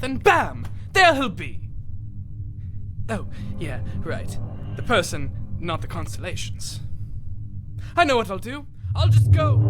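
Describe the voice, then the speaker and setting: A man playing an excitable, absent-minded, eccentric dimension traveler in an audio drama, rambling theatrically. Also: extremely high pitch, pitched above the performer's own voice, full character voice. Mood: excited, exasperated.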